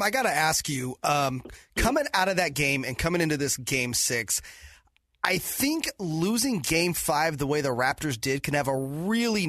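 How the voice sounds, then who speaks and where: A man with a moderately high voice in a dry studio-like sound. He talks as a sports radio co-host, interviewing in a conversational style. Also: a bit bright, no echo.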